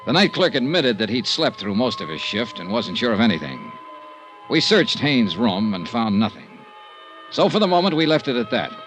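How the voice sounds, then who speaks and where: A man's voice: mid-range voice, sing-song, moderate pace, fairly bright tone, faint music behind, poor audio. An American actor playing a wry, cool private investigator in a vintage radio detective drama, narrating his case in a dry, clipped hardboiled way. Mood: matter-of-fact narration. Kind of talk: 1940s-50s radio detective acting.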